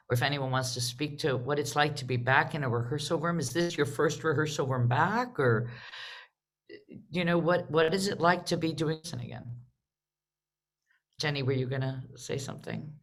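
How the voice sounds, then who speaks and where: A woman's voice: low-pitched, moderate pace. A woman moderates a live theatre discussion in an informal, conversational way. She speaks as the host, putting questions to her guests and responding to them. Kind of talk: casual live panel moderation.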